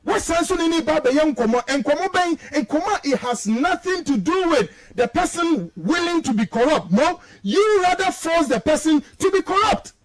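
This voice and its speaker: A man's voice: extremely high pitch, animated, normal speed, few pauses, poor audio. A man with a Ghanaian accent giving heated, emphatic political commentary, mixing Twi and English and repeating phrases for stress.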